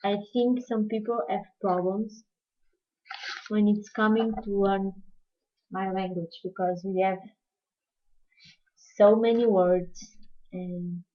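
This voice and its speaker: Woman, Portuguese accent, non-native English, chatty craft tutorial host, mid pitch, muffled lo-fi stream audio. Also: steady tone, slow pace, long pauses. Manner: chatty, answers viewer questions while working. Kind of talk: live-streamed craft demonstration. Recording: dull lo-fi livestream audio.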